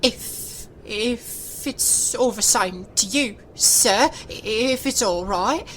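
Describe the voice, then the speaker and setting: A woman's voice: fairly high pitch, very slow, fairly bright tone, slight background noise, pitched above the performer's own voice. A woman voicing a timid young clerk who stammers nervously when he talks to his employer.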